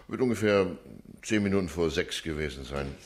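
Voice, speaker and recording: An actor playing a plain-spoken taxi driver on the witness stand in a radio play, colloquial and halting. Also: male voice, deep voice, slight background noise, lightly acted. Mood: matter-of-fact. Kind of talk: courtroom radio play acting.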